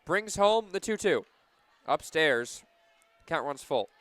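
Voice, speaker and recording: A man with a fairly high, very clean voice calls softball on the radio. He keeps a measured play-by-play flow and lifts it for big plays. The sound is close and dry. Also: dry recording. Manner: excited on big plays, measured between them. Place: live at a softball game.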